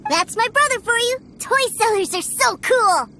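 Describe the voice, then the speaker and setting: Game casting: eager little brother, wide-eyed, tiny high voice with lively swings. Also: female voice, normal speed, full character voice. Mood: delighted. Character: eager, innocent little boy, adoring younger brother. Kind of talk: video game voice acting. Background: background music.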